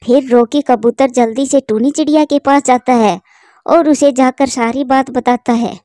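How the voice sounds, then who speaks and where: A woman narrating a children's cartoon story in a steady, plain storytelling manner. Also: high voice, unhurried, dry recording, clean studio sound.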